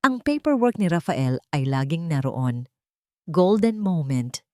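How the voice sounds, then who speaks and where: A woman's voice: low voice, big pitch swings, unhurried, warm tone, no room sound, clean studio sound. A woman reading a scripted first-person love drama as a dramatic storyteller. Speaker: story narrator.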